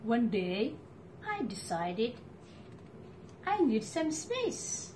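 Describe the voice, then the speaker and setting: A middle-aged woman reads a children's picture book in a fairly high voice with a very lively rise and fall. She takes her time, pausing between phrases for expression. Clear room noise sits behind her. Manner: deliberate, expressive phrasing with pauses. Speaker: storytime reader for children. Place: living room at home, indoors.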